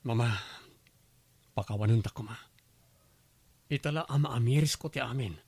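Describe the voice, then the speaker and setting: A man's voice: mid-range voice, moderate pace, darkish tone, slightly rough. A man playing a remorseful young groom in a radio drama, earnest and pleading. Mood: remorseful, confessing. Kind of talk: Ilocano radio drama acting.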